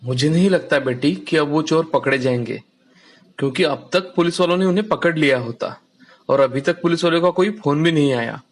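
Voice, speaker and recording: A man playing a humble, caring village father in a children's animated story, earnest and gentle in manner. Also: fairly high pitch, fairly quick. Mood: reassuring, resigned.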